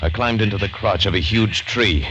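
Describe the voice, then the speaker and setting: An actor playing a hunted man who grimly tells his own ordeal, in a tense, deliberate old-time radio drama style. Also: fairly low pitch, close to an everyday voice. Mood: tense, breathless suspense. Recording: dull old radio recording with music. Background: loud dramatic music.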